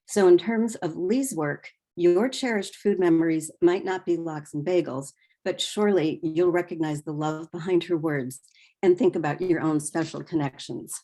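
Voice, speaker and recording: A woman speaking as host at a literary reading, giving a measured, articulate prepared introduction. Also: on the low side, dark tone. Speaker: event host introducing readers.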